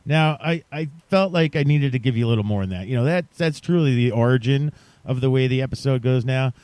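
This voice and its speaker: A man's voice: medium pitch, a little fast, darkish tone, smooth, no echo. A man hosting a podcast, talking casually to his listeners in a chatty, playfully emphatic way. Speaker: podcast host.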